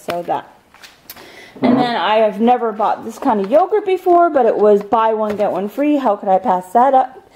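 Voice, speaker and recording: A woman's voice: mid-range voice, warm tone, a little hiss or hum, rough recording. A married woman at home, chatting casually and rambling with many ums as she shows her groceries to a handheld camera. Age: adult, married. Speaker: vlogger showing a grocery haul. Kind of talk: casual chat over a haul. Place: home, indoors at a table of groceries.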